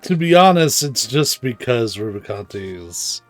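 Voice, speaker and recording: A man's voice: mid-range voice, very animated, measured pace. A man giving casual, chatty, wry commentary as he streams a game. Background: soft game music.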